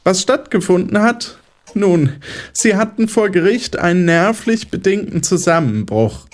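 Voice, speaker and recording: A man's voice: high voice, expressive, unhurried. A man playing a patronizing, controlling psychiatrist in an audio drama, lecturing in a didactic, condescending way. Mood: patronizing, soothing. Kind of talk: German audio drama acting.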